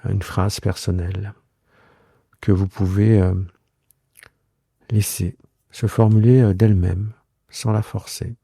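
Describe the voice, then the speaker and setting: A man guiding a yoga relaxation meditation in a soothing, unhurried way, leaving long pauses between short phrases. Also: lowish voice, level tone, warm tone, husky, dry recording, clean studio sound. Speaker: yoga nidra guide and host. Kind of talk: guided relaxation meditation, improvised.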